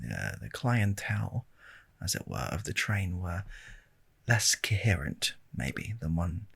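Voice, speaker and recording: Give a soft-spoken British man telling a casual story for ASMR, close to the mic. His low voice is very breathy, with lively rises and falls in pitch. He is hesitant and wry, rambling along in an understated way. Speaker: ASMR video creator. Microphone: handheld stereo recorder, close up.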